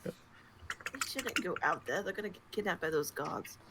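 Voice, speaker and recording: A man's voice: sits high, normal speed, heavy background noise. A man playing a tabletop role-playing game, bantering with the others in a teasing, combative way. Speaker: player.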